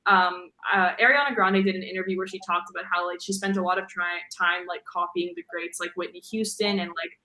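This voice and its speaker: A young woman guest talks casually about singing, her voice low for a woman yet bright and clear. She rambles a little and fills gaps with "like." The room around her is echoey. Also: fairly even intonation, fairly quick, few pauses. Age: young adult. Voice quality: deep for a woman. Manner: chatty, fills with "like" and "um", laughs easily. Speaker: guest singer. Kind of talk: casual interview chat.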